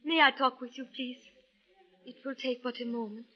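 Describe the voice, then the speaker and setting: Woman playing an earnest, formal foreign lady, higher-pitched. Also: steady tone, normal speed, lightly acted. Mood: polite. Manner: formal, precise, courteous foreign phrasing. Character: polite, earnest, worried Syrian wife seeking her missing husband. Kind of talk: 1940s-50s radio drama acting. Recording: dull old radio recording.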